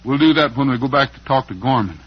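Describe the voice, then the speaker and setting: An actor playing a steady, fair-minded cowboy hero in an old-time western radio drama. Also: male voice, medium pitch, fast talker, slightly rough. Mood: determined, reasoning. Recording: muffled vintage radio broadcast.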